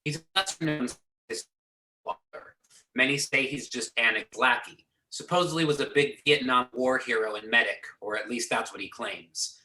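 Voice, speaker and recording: Man reading as sharp, bright-voiced agency boss. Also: medium pitch, normal speed. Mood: matter-of-fact. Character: businesslike male agency boss briefing his agents. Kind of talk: amateur readers theater script reading. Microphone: video or conference call. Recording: echoey room over video conference.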